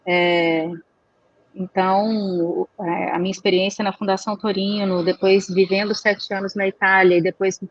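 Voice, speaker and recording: A woman, a guest answering interview questions in a measured, reflective way. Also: medium pitch, level tone. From Minas Gerais, Brazil. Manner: measured, reflective, searching for words. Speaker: guest, chamber of commerce executive. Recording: dry, dull recording.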